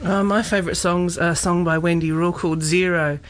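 A woman's voice: fairly low pitch, steady tone, fairly quick. A woman sharing in a heartfelt, hesitant way in a women's circle. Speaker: group member.

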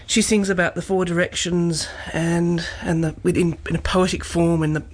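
A woman's voice: fairly low pitch, flat delivery, normal speed. A woman sharing in a heartfelt, hesitant way in a women's circle. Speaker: group member.

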